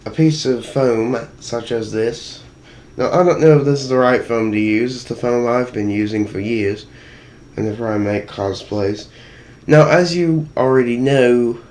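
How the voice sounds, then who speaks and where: A man's voice: medium pitch, darkish tone. Man in a put-on British accent. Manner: rambling, jokey, self-deprecating. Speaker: cosplay maker. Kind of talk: how-to tutorial vlog. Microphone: voice muffled inside a costume helmet.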